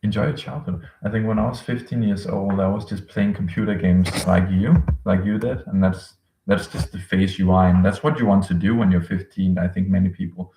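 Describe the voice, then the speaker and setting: A man in his late twenties, a language coach chatting casually with viewers on a live stream, rambling with many pauses and fillers. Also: low-pitched, steady tone, gravelly, mellow, roomy sound, distant mic, slight background noise, poor audio. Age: 20s. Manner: rambling, thinks aloud with many ums, reads out chat messages.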